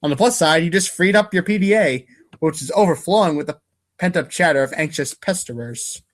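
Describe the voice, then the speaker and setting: Man, slightly high voice, wry narrator, amateur dramatic reading, small stumbles over script. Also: lively intonation, fairly quick. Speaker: narrator of readers' theater show.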